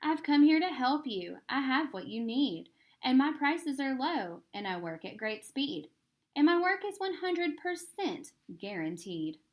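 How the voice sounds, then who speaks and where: A slick travelling salesman from a storybook, played by a woman, pitched on the high side. His patter is keen and sly, swooping up and down as he sells. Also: very animated, a little slow. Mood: keen, reassuring. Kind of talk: storybook character voice.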